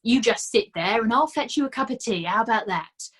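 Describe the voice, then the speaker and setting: A kindly, slow-witted sergeant who fusses over his friend and cracks jokes, in a raised, husky voice, as a woman reader puts him on. He sounds homely and eager. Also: fairly high pitch, lively intonation, voice pushed up. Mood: fussing.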